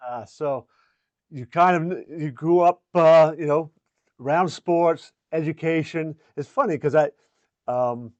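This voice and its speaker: A man's voice: fairly high pitch, darkish tone, no room sound. Older male host, dull lo-fi sound. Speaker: show host and mindset coach. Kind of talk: scripted promo and introduction, then casual interviewing.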